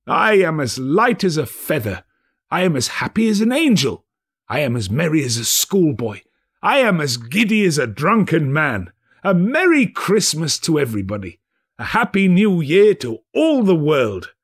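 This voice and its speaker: A man acts out a giddy old miser bursting with joy in a storybook tale. The pitch is pushed high and swings wildly, with a husky edge. The delivery is excitable, breathless and exclamatory. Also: moderate pace, exaggerated voice. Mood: giddy glee.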